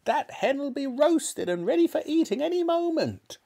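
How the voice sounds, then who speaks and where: Storybook role: scrawny, cantankerous old granny, very high-pitched, boastful and shouting scorn. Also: sing-song, measured pace, gravelly, heavily acted. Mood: shrieking, accusing. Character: scrawny bad-tempered old grandmother, gloating and scornful.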